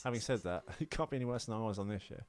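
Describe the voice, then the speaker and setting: A man's voice: on the low side, racing. A British man hosting a casual comic podcast, chatting in a rambling, self-deprecating way. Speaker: podcast host.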